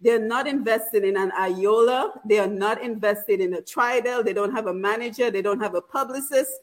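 A woman's voice: mid-range voice, moderate pace. A woman hosting a program, interviewing a guest and reading out announcements, with many affirming "mm-hmm"s. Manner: frequent affirming "mm-hmm" and "right". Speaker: radio program host.

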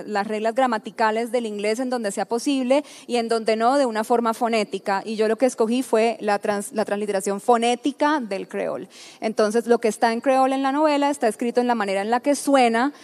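A woman's voice: a little high, darkish tone. A woman novelist from the San Andrés archipelago, explaining her writing choices in a panel talk, often restarting and repeating words as she thinks. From San Andrés archipelago, Colombia. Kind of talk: thoughtful conversational explanation.

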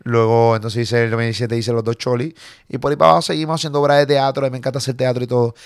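A man from Puerto Rico in his forties with a Puerto Rican accent, a comedian chatting casually in an interview and telling stories quickly, with some swearing. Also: mid-range voice, smooth, dry recording, studio quality. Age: 40s. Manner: animated, swearing, quick storytelling. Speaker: comedian guest.

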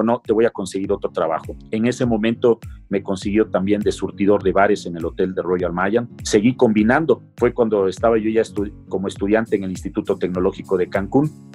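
A man's voice: lowish voice, darkish tone, slightly rough. A middle-aged Mexican man with a Mexican accent, earnestly recounting his life story in an interview.

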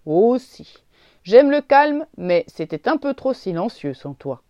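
A woman voicing an old male oak tree who is gentle and gruff, talking slowly and sadly. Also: on the high side, very animated, dry recording, lo-fi sound. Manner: slow, sad and grumbling.

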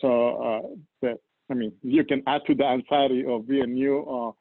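A man from Colombia with a Colombian Spanish accent, a native Spanish speaker, talking as a guest about leading volunteers at his church, unhurried and searching for words. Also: medium pitch, fairly even intonation, creaky, no room sound. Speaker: church campus leader. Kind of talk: podcast guest interview. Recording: dry tinny phone-like line.

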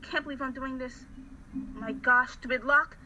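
A woman's voice: high-pitched, steady tone, normal speed, sharp tone, muffled recording, faint music behind. A woman playing a seventeen-year-old girl who is suspicious and defiant toward a stranger, in an amateur radio drama.

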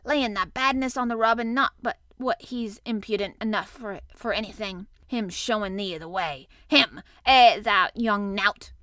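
A woman's voice: mid-range voice, a little slow, hardly any pauses, fairly bright tone, no room sound, clean studio sound, lightly acted. A woman voicing an indignant old male gardener from a storybook, scolding bluntly in Yorkshire dialect. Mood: outraged.

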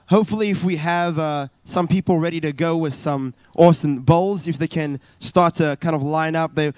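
A man's voice: on the high side, no room sound. A man in his thirties, a youth pastor preaching a sermon from a church stage, earnest, with frequent uh's and restarts. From South Africa. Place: church stage, live service.

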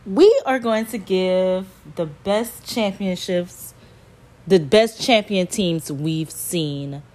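Slow, chatty woman in her thirties. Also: fairly low pitch, darkish tone, poor audio. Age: 30s. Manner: chatty, slow and emphatic, repeats points. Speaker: podcast host. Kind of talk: casual sports talk.

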